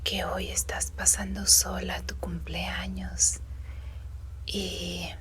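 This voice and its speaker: A tender female woodland creature, acted by a woman, whispers slowly and breathily in an ASMR roleplay. She is soothing and reassuring toward her human listener, with faint noise behind the close whisper. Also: on the low side, fairly bright tone. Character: gentle female woodland fauna being comforting a human.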